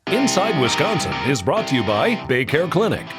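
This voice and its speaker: Higher-pitched male announcer over guitar music. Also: sing-song, moderate pace. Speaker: sponsor announcer. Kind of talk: scripted promotional read.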